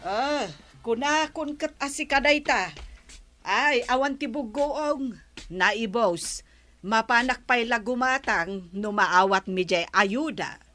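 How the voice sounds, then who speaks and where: A woman's voice: a little high, slow, bright, forceful tone. A woman playing a self-satisfied village official in a comedy radio drama, with a drawling manner. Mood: casual. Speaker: actor in an Ilocano comedy drama.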